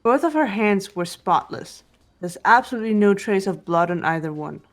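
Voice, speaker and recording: Game role: composed teen girl sleuth female actor, mid-pitched, matter-of-fact, music underneath. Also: expressive, moderate pace, close to an everyday voice. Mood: calm, analytical. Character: calm, unemotional teenage girl investigating a murder. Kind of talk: scripted visual novel voice acting.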